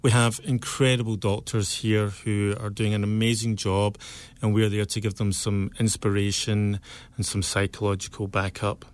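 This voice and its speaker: A middle-aged Scottish man with a somewhat low voice, talking as an interview guest. His manner is earnest and measured, warmly enthusiastic about the work he describes. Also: little pitch movement, dry recording. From Scotland. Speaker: guest, cancer survivor and author. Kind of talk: local interview.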